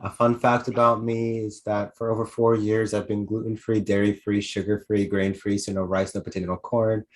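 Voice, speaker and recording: A man's voice: fairly low pitch, little pitch movement, moderate pace. An eighteen-year-old young man from New Jersey introducing himself slightly nervously as a game player. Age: teens.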